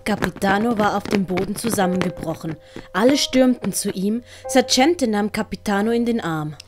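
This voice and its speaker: A woman's voice: medium pitch, normal speed. A woman with a Swiss German accent reading scripted narration for an audio story. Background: music.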